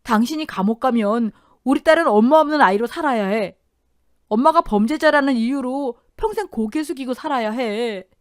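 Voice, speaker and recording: A woman voicing a husband who calmly pleads with his wife in a radio drama. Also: high voice, moderate pace, no room sound, clean studio sound, voice pushed up, natural voice. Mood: pleading. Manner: calm, pleading. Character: gentle steadying husband, man.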